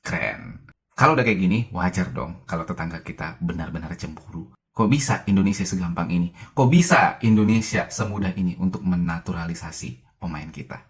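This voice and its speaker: A man with a very low, deep voice talks through football news in a relaxed, chatty way. He sounds enthusiastic and keeps asking rhetorical questions. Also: fairly quick. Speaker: commentator narrating a football video. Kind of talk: casual video commentary.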